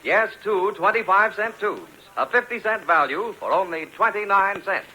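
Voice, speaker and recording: An American man delivering an urgent, punchy sales pitch as a 1940s radio commercial announcer on an old broadcast. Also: animated, normal speed, pushed and bright, a little hiss or hum, poor audio. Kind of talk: 1940s radio advertisement read.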